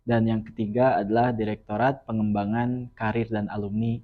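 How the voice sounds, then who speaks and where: A young man from West Java, explaining earnestly and at a measured pace as a student guest on a podcast. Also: fairly low pitch, little pitch movement, narrow, tinny audio. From West Java, Indonesia. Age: young adult. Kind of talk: podcast interview.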